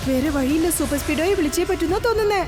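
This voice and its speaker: A woman's voice: extremely high pitch, animated, very fast. A woman voicing a brave, righteous young boy hero in a children's cartoon, earnest and resolute. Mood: urgent. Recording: background music under the voice.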